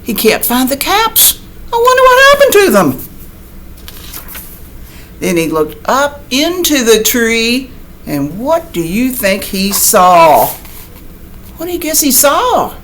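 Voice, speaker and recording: Animated, unhurried woman reading to children. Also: on the high side, slight background noise. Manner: expressive, addresses young listeners directly with questions and explanations. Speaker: teacher reading a picture book to young children. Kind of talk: animated children's read-aloud with chatty asides.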